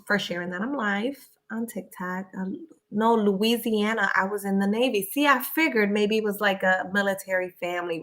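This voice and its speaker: A woman's voice: mid-range voice, creaky. A young woman from Connecticut whose first language was Spanish, chatting casually as she reads out and answers viewer questions on a live stream. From Connecticut, USA. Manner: chatty, reads comments aloud, laughs often.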